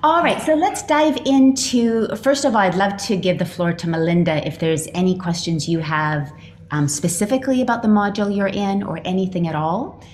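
A woman's voice: mid-range voice, normal speed, darkish tone. A woman speaking as host and coach on a casual group call, chatty and welcoming, greeting people as she goes. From Australia (lives there). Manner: chatty, welcoming, greets each participant by name. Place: indoor room on an online group call. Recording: roomy echo, faint background noise.

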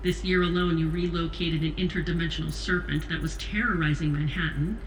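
A woman's voice: fairly low pitch, steady tone, brisk, close to an everyday voice. Woman acting composed madam president, polite but chiding, echoey with faint noise. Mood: gently chiding. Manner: polite, composed, gently teasing. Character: gracious, gently chiding female president. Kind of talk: audio drama acting.

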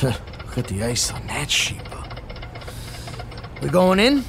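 A man's voice: mid-range voice, wildly swooping pitch, takes it slowly, gravelly, heavily acted. A man playing a slangy, streetwise henchman who drives for a mob boss in a 1920s audio drama. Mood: sly, obedient. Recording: distant, with car engine noise.

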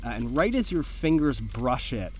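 A man's voice: mid-range voice, very animated, moderate pace, slight background noise. A man narrating a tabletop horror-comedy as game master, descriptive and conversational. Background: faint music.

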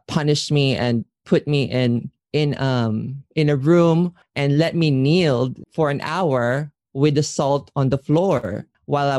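A man's voice: medium pitch, a little mellow, no room sound, clean studio sound. A male voice with a Filipino accent, an interview guest telling personal stories in a conversational way. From Philippines. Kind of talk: personal storytelling.

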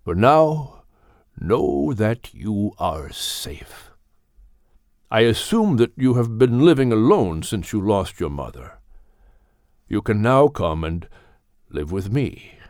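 A man voicing a wise, gentle older male animal mentor in an audiobook, speaking in a measured, firm but kindly way. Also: on the low side, very animated, no room sound, studio quality. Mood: reassuring. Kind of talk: audiobook character voice.

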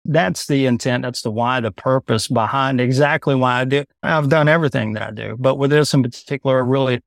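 A man's voice: medium pitch, fairly quick, vocal fry, no echo, studio quality. A man in his thirties, a guest on a podcast, talking bluntly and loosely in casual conversation. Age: 30s. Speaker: podcast guest, video business owner.

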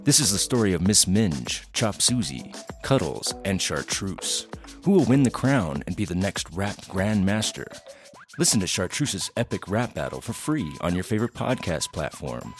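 A man's voice: deep voice, big pitch swings, normal speed, soft background music. A man reading scripted promotional narration as the announcer of a podcast trailer.

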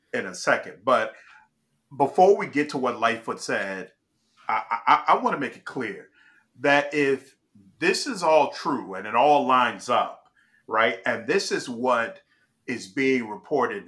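A man from Chicago talks through the news as host, his voice bright and forward. He is emphatic and stops to check in with his listener. The delivery is loose and conversational. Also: mid-range voice. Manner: emphatic, restarts and checks in with "all right?". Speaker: political commentary host. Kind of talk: casual political commentary.

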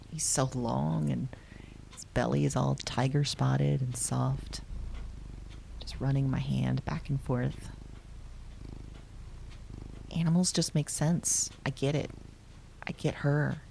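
Actress as slow, creaky-voiced woman, confiding and wry. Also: a bass voice, warm tone, slight background noise, exaggerated voice. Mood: soft, pensive. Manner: reflective, profane, halting, confiding. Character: weary, wry, candid modern woman recounting her dreams. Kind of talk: intimate audio drama monologue, confessional diary.

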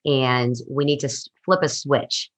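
A woman's voice: very deep voice, unhurried. A woman in her forties, a fitness coach, speaking in an emphatic, encouraging way. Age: 40s. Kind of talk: motivational interview answers.

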